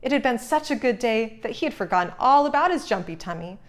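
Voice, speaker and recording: A woman reading a children's storybook aloud as narrator, expressive and suited to young listeners. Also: on the high side, quick pace.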